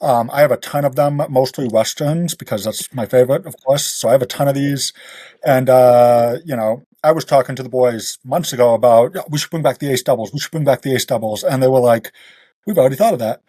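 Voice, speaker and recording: Male guest, mellow mid-range voice, dry close sound, casual storyteller. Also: medium pitch, brisk, no room sound. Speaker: podcast guest, author. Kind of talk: casual anecdotal chat.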